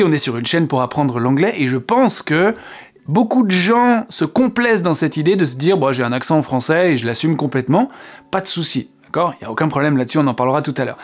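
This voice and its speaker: Chatty French-speaking male teacher. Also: on the high side. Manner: chatty, asks the viewer questions, many fillers. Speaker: English teacher, channel host. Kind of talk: casual video lesson.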